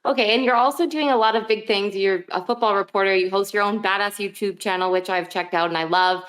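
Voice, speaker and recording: A woman's voice: medium pitch, steady tone, fast, a bit bright. Casual, slangy woman podcast host. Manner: informal, peppered with slang and "like". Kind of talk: casual chatty interview.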